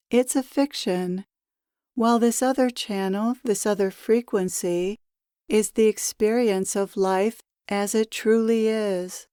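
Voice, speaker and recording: A woman leading a guided meditation as a spiritual teacher, with measured, deliberate phrasing. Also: mid-range voice, mellow, smooth, dry recording, studio quality. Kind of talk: guided meditation, spoken as a channeled message.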